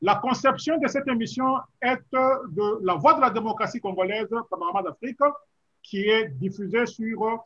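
A middle-aged man hosting a formal political debate over a video call, speaking in a measured way with frequent hesitations. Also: sits high, fairly bright tone, highs cut off. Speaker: talk show host.

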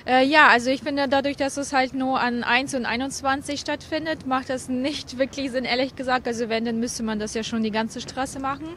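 A woman's voice: sits high, fairly even intonation, fairly quick, hardly any pauses, a bit bright. A young woman chatting colloquially as a passer-by in a street interview, on a handheld mic. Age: young adult. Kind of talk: casual chat, colloquial. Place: busy city street outdoors. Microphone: interviewer's handheld microphone. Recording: street traffic noise behind.

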